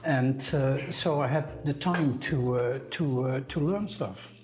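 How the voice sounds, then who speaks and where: A man's voice: fairly high pitch, unhurried, soft background music. An older man from the Netherlands with a Dutch accent, a guest on a cooking show who talks in a thoughtful, casual way with many restarts. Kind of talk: casual conversation.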